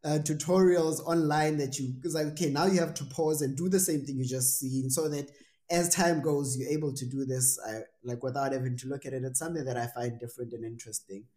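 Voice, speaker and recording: A man's voice: medium pitch, steady tone, fairly quick, warm tone. A young man hosting a podcast interview, thoughtful and rambling, with many fillers and restarts. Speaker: podcast host. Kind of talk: casual interview.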